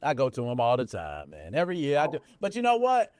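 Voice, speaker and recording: A man hosting a casual podcast chat, slangy and animated, often asking "you know what I mean". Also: medium pitch, fast talker, no room sound. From United States. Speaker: podcast host.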